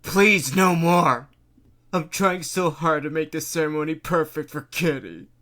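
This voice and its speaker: A man voicing a tormented middle-aged male mayor who begs, sobs and shouts. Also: on the high side, very slow, pitched above the performer's own voice. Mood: begging. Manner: pleading, shouting, sobbing.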